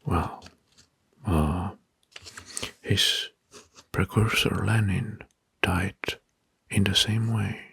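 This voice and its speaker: A man narrating in a whispered ASMR style, slow and deliberate with a breathy voice, close to the mic. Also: sits low, steady tone, no room sound. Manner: slow, deliberate, repeats words softly. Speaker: host of ASMR history video. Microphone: close mic, dry.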